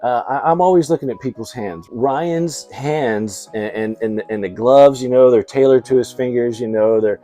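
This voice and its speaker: A man talks like an enthusiastic vlog host, casual and full of slang. His voice is warm and relaxed at an average pitch. Music plays faintly behind him as he chats excitedly. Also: moderate pace, few pauses. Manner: excitable, slangy, chuckles often. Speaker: host of a toy and movie review channel.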